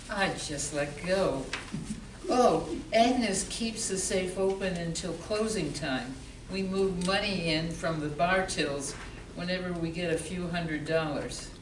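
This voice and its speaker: Woman playing a coy flirt, lowish echoey voice. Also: lightly acted. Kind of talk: amateur community theatre reading. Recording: echoey distant mic, noticeable background noise.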